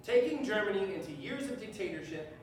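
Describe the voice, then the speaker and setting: A man on stage playing a principled defense attorney with a measured, rhetorical courtroom delivery. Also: high-pitched, lively intonation. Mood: measured, firm. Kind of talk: live stage readers theater. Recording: distant mic, echoey room, faint noise.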